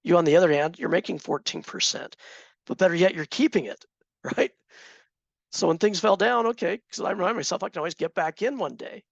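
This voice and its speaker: A man with a fairly high, gravelly voice leads a trading class, chatting with his students and throwing questions to them. His voice is a little hoarse, and the sound is dry and close. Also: a little fast, dry recording, lo-fi sound. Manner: conversational, asks the class questions. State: slightly hoarse, clearing throat. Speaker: trading instructor. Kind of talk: teaching a live class.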